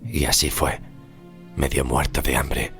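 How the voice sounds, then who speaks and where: An actor playing a haunted, scarred sailor of centuries past, narrating his survival story in an audio horror drama, grave and deliberate, slipping into near-whispers. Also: a bass voice, little pitch movement, fairly bright tone, faint music behind. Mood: weary, ominous.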